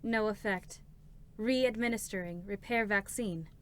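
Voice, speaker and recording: A woman voicing a formal, unfeeling support robot, with clipped, procedural, deadpan delivery in a dramatic reading. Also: mid-range voice, unhurried, a little hiss or hum, natural voice. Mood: impassive. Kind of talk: dramatic reading of game characters. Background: music.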